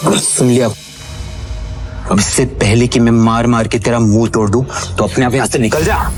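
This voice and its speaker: Casting: male actor as arrogant businessman, medium-pitched, bossy and menacing, faint music under the drama. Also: normal speed, lightly acted. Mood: threatening. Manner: disdainful, bossy. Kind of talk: Hindi audio drama acting.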